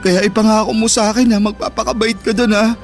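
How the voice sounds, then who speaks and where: High-pitched Filipino teen brother, protective and easily wounded, heartfelt radio drama over soft music. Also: male voice, level tone, fast talker. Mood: tender, reassuring. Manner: earnest, emotional delivery. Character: hurt, affectionate teenage boy, protective older brother. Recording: music behind the voice.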